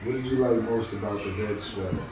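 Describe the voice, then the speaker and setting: A man reading a storybook aloud in an encouraging, conversational manner, pausing to check that the listener follows. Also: lowish voice. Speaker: storybook reader. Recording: very echoey, distant mic, music behind.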